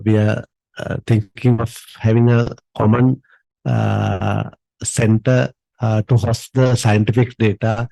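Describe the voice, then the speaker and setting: A man's voice: fairly low pitch, creaky, warm tone, no room sound, rough recording. A middle-aged man from Sri Lanka with a Sri Lankan accent, asking a question into a handheld microphone with many fillers. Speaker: audience member asking a question. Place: conference room.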